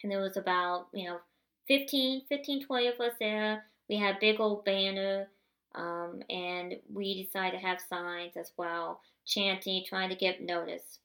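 A woman's voice: medium pitch, steady tone, unhurried, a bit bright. A woman hosting a podcast, telling a personal story in a conversational, self-correcting way that builds to indignant emphasis. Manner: conversational, with repeated restarts, rising to indignant emphasis. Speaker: podcast host. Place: at home, seated in a wheelchair.

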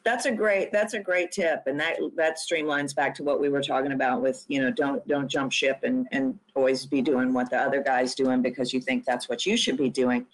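A woman's voice: extremely low pitch, steady tone, moderate pace, few pauses, rough recording. A woman hosting a podcast interview, affirming her guest and often repeating and restarting her phrases. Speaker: podcast host.

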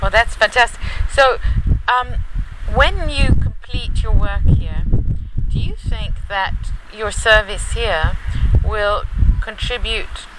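A woman's voice: on the high side, slow pace. Woman interviewer on a noisy field recording. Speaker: podcast host interviewer. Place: on location at a training institute.

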